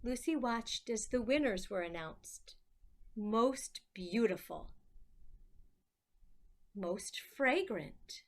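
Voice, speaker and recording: A woman reading a picture book aloud to children in an expressive, deliberate way, with pauses between short phrases. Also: on the high side. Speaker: children's storyteller.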